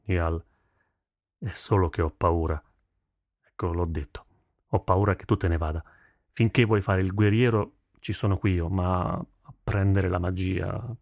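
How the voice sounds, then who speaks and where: Man playing a deep, gruff armourer father. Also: no echo, clean studio sound, lightly acted. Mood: hesitant, worried. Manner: gruff, halting, reluctant. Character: gruff, protective big armourer father, middle-aged. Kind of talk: audiobook character voice.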